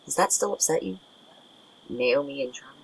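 A woman playing a tired, guarded young friend with wry, deadpan humour in an audio drama. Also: extremely low pitch, normal speed, creaky, slightly rough, full character voice, poor audio. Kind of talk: naturalistic audio drama acting.

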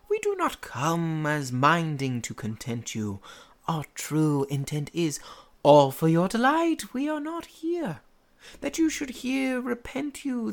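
An actor playing an earnest amateur player who declaims a pompous prologue with halting, misplaced pauses in a Shakespeare radio play. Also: mid-range voice. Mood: nervous, declamatory.

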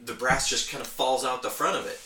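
Guy on a podcast nerding out, bright voice, roomy and kinda far from the mic. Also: mid-range voice, steady tone, brisk. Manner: enthusiastic, nerdy explaining. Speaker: podcast host. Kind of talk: casual hobby chat. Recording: roomy, echoey, distant from mic.

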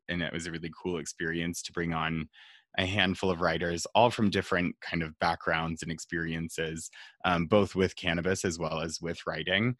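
A man's voice: low voice, fairly bright tone, no room sound, clean studio sound. A young man from rural Nevada chatting casually on a podcast, rambling with many fillers and restarts. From rural Nevada, USA. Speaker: marketing staff guest.

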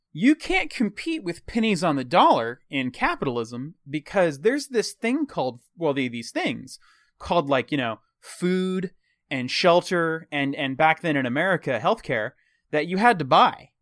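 A man's voice: on the high side, a bit bright, dry recording, clean studio sound. A man with a slight Texan accent, casually improvising a fictional world's history as a show's host, rambling and correcting himself as he goes.

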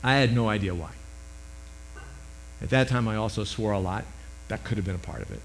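Loud emphatic older male preacher. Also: on the low side, animated, fairly quick, slight background noise, poor audio. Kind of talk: sermon. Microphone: microphone.

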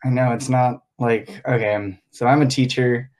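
A young man from Hong Kong chats casually as a podcast guest at an even, middling pitch. He thinks aloud as he goes, pausing and filling gaps while he gathers his words. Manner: hesitant, thinking aloud with many fillers. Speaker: podcast guest, English teacher.

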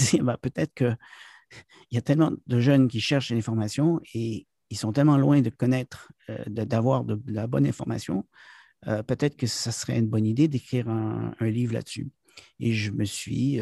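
A man with a Québécois French accent talks through an interview in a gravelly, husky voice. His tone is dark and mellow, the sound dry and close. He hesitates often, restarting phrases and filling gaps with "euh". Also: mid-range voice, dry recording. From Quebec. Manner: hesitant, many fillers and restarts. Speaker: guest, trainer and author. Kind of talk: casual interview talk.

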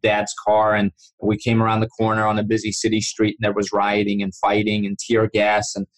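A man in his forties from Iran speaks in a low, steady voice that barely rises or falls. He tells his memories earnestly as a guest, with frequent restarts. His delivery stays measured and even. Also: low-quality recording. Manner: earnest, restarts often, vivid recounting. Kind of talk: storytelling interview.

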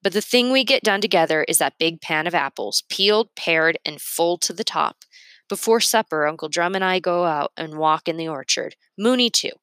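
A woman narrating a storybook aloud in an expressive read-aloud style. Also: lowish voice, normal speed, no echo.

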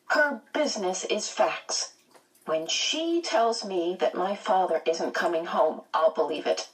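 A woman's voice: medium pitch, distant mic, pitched above the performer's own voice, natural voice, rough recording. Woman voicing a prickly, defiant teenage schoolgirl. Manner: blunt, combative, guarded. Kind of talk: audiobook character voice.

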